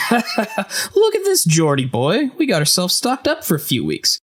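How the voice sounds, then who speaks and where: A man's voice: high voice, huge pitch swings, unhurried, fairly bright tone, breathy edge, full character voice. A man playing a talkative, theatrical fight-ring boss with a morbid streak in an audio drama. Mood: breezy, morbid.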